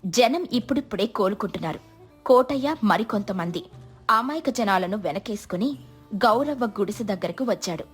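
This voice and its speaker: Female narrator for moral tales, mid-pitched, husky, dramatic scripted read. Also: animated, moderate pace, faint music behind. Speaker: storyteller.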